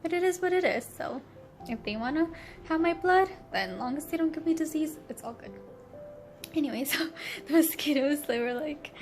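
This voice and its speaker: A young woman with a stuffy, congested nasal voice, chatting casually in a travel vlog, rambling and giggly with lots of fillers. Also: high-pitched. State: congested from allergies. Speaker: travel vlogger. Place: hotel room.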